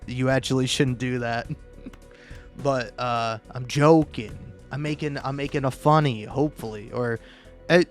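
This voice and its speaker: A man rambling casually on his own as a video creator, self-deprecating and joking, with stammered false starts and plenty of swearing. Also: fairly high pitch. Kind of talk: rambling casual solo commentary. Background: background music.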